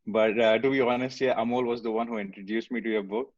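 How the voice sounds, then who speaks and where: A man's voice: mid-range voice, fairly even intonation, fast, dry recording. A man co-hosting a podcast, chatting in a friendly, hesitant way with many fillers. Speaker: podcast co-host. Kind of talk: casual podcast chat. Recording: dry, dull lo-fi sound.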